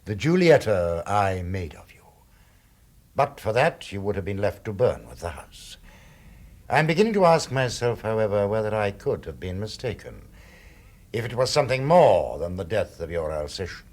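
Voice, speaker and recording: An actor playing a cold, controlling crime boss in a radio drama, husky, measured, condescending and threatening. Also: male voice, on the low side, very animated, a little mellow. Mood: coldly menacing. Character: cold, menacing, controlling male crime boss, cruelly possessive.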